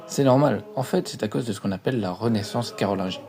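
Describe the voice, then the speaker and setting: A man's voice: on the low side, fast talker, darkish tone. A man giving a scripted, formal educational narration as a history presenter. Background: background music.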